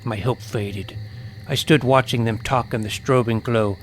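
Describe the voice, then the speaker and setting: A man's voice: medium pitch, steady tone, a little slow, a little hiss or hum. A man giving a dramatic, scripted first-person narration of a suspense adventure story.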